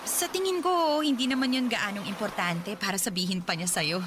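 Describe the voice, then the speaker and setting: A woman's voice: mid-range voice, a little fast, background noise, close to an everyday voice. A woman playing an evasive young coworker in a radio drama, defensive and stammering, with a Filipino accent. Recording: music behind studio dialogue.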